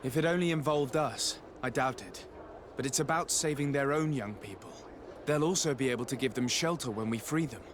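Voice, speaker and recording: An actor playing a composed, decisive diplomatic envoy in a video game, speaking in a formal, measured way. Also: male voice, mid-range voice, noisy background, lightly acted. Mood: earnest, resolute. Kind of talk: scripted video game acting.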